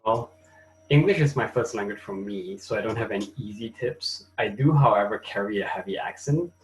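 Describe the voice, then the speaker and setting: Low-voiced man with a Malaysian accent. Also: moderate pace, rough recording. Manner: relaxed, thinks aloud with fillers. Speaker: webinar co-host. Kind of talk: casual online webinar talk. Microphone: video call.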